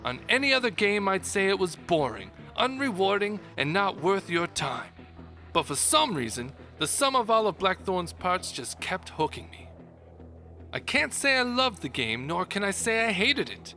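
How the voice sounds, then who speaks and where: A man's voice: high-pitched, big pitch swings, moderate pace, bright, forceful tone. A man giving a dramatic, mock-grand reading of a scripted game review. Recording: music behind the voice. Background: background music.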